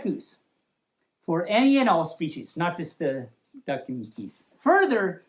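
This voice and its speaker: Animated high-voiced woman storyteller. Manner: playful, silly, expressive. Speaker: storyteller, host of a storytime series. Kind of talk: storybook reading with playful ad-libbed asides.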